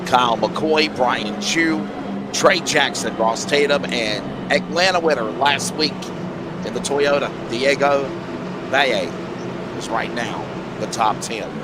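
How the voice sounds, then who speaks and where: A man doing play-by-play for an online race broadcast, his voice hoarse and raspy, rattling off the running order. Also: medium pitch. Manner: rapid rundown of race order. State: hoarse from allergies. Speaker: play-by-play commentator. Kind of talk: sim racing broadcast commentary. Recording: noisy track sound behind.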